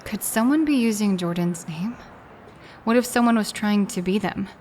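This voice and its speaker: A woman's voice: mid-range voice, normal speed, darkish tone, a little hiss or hum, close to an everyday voice. Woman acting a bewildered grieving partner, faint scratching behind. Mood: pleading, searching. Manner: questioning, uneasy. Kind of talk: audio drama acting.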